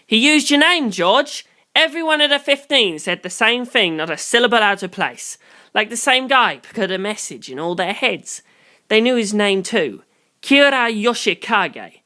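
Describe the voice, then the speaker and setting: A reader voicing an excitable, blunt male investigator who warns a friend in emphatic, profane slang. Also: medium pitch, moderate pace, pitched above the performer's own voice. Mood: urgent.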